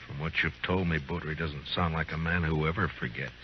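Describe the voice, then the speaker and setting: An actor playing a weary, steady middle-aged frontier marshal in a radio western, speaking in a measured, laconic, authoritative way. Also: male voice, very deep voice, slight background noise. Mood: grave, guarded. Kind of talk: old-time radio western acting. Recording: dull old radio recording, music.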